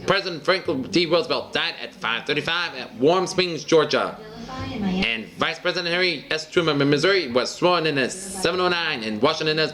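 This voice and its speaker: A man with a slightly high voice narrates a newsreel. He reads scripted, dated headlines like an announcer, in short clipped phrases, moving from one item to the next.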